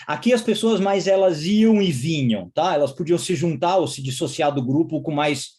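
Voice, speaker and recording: A man's voice: a little high, expressive, moderate pace, a little mellow. Man lecturing with rising tag questions. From Israel. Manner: explanatory, frequent checking tag questions. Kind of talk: recorded course lecture.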